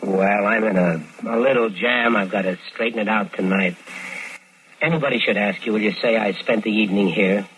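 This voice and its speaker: An actor in a 1950s radio drama playing a calm, persuasive schemer who narrates his own crime. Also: lowish voice, moderate pace, a little hiss or hum, close to an everyday voice, poor audio. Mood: coaxing. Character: smooth-talking scheming man, murderer narrating. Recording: old radio broadcast with music bed.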